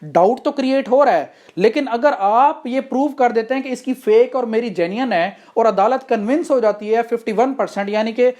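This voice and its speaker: A woman's voice: fairly high pitch, normal speed, hardly any pauses, darkish tone. A woman with a Pakistani accent giving an explanatory law lecture, methodically defining terms step by step and posing rhetorical questions. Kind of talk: explanatory lecture mixing Hindi-Urdu and English.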